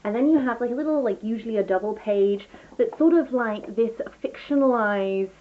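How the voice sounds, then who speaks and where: A woman's voice: fairly high pitch, moderate pace, phone-quality sound. A woman chatting casually through a book review as a vlogger, rambling and enthusiastic, often interrupting herself.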